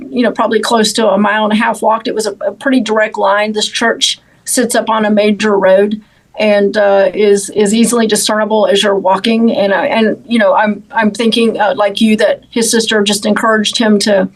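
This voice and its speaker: A woman speaks as a law enforcement official in an interview. Her pitch is average for a woman, and she explains things in a measured, halting way, often doubling back on words. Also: level tone, poor audio. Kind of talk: interview answers about an investigation.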